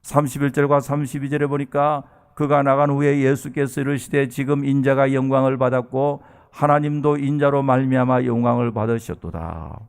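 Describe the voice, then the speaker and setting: A man's voice: medium pitch, fairly even intonation, moderate pace, vocal fry, hardly any pauses, smooth. A man in his early sixties from South Korea delivering a sermon as a pastor, earnest and emphatic, addressing his listeners directly. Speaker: pastor preaching to a congregation.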